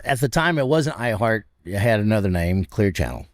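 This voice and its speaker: A man in his fifties with a deep, raspy voice, a radio personality telling stories with laughs in a casual interview. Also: brisk, no room sound, clean studio sound. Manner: animated, laughs between stories. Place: stadium club room.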